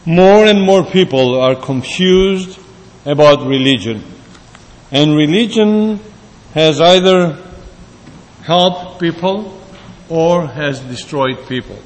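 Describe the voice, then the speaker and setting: A man preaching a sermon in a slow, deliberate way, with pauses and fillers, in a church. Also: fairly high pitch, expressive. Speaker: pastor. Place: church sanctuary. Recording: church hall reverb.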